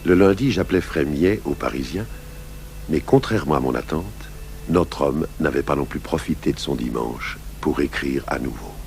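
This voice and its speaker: Very deep, gravelly police commissioner. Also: male voice, a little mellow. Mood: reflective, grave. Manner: measured, deliberate, probing. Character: calm, shrewd middle-aged male police commissioner, patient and gruff. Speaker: lead detective and first-person narrator. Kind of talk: 1980s French radio crime drama acting. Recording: old radio broadcast recording, faint hum and hiss.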